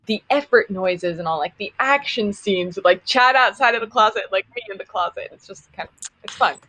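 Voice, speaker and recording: A woman's voice: mid-range voice, lively intonation, brisk, pushed and bright, low-quality recording. A woman who works as a voice actor, chatting casually in loose, informal phrases. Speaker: voice actor on the show. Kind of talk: casual chat.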